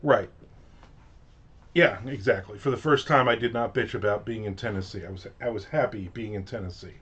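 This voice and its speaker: A man's voice: on the low side, normal speed, fairly bright tone, husky, dull audio. A middle-aged man with a New Jersey accent, chatting casually and rambling on a livestream from his home office. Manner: rambling, chatty, lots of fillers and restarts. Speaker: author hosting a livestream. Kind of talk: casual chat. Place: home office with shelves of collectibles.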